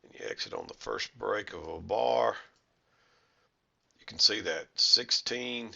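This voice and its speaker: A middle-aged man with a hoarse, congested voice from a head cold, explaining chart trades in a rambling, self-correcting way. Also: on the low side, pushed and bright, dull audio. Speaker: trading educator. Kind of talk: screen-share trading recap.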